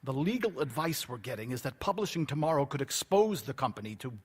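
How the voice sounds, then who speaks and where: A man playing a worried, courteous newspaper executive in an audio drama, speaking in a measured, grave way. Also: medium pitch, big pitch swings, slightly rough.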